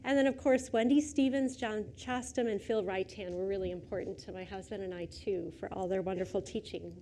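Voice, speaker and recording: A soft-spoken middle-aged woman giving a public lecture in a lecture hall, chatty and personal. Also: mid-range voice, steady tone, fairly quick, slight background noise. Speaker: guest lecturer. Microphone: lecture microphone.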